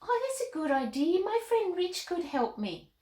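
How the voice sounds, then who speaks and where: Little girl, high-pitched, childlike, excited, chatty, children's show, echoey room. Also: moderate pace. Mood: agreeable. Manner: eager, childlike, bubbly.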